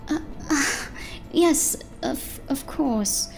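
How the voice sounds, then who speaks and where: A woman's voice: high voice, expressive, drawn-out words, vocal fry, full character voice. A woman playing a polite, uneasy owner of a small diner in an audio drama. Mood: uneasy, guarded. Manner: polite, hesitant.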